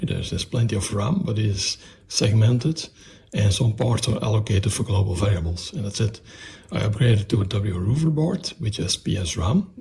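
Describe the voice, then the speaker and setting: A man presenting a technical explanation of an electronics project in a halting, methodical, step-by-step way. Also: lowish voice, vocal fry, a little mellow, slight background noise.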